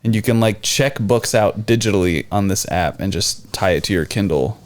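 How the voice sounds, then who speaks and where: A man's voice: fairly low pitch, normal speed. A man with an American accent in casual, informal podcast banter as a co-host. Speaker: podcast co-host, IT and cybersecurity worker.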